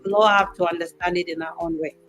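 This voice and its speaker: A woman in her fifties hosting a casual talk show, teasing and animated as she reads out viewer comments. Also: lowish voice, quick pace, soft background music. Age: 50s. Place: in America, away from her home country.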